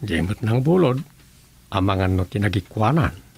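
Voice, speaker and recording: A man's voice: on the low side, expressive, normal speed, creaky, noisy background. A man acting as a practical, scheming townsman in an Ilocano radio comedy drama, with an Ilocano accent. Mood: conversational, grumbling.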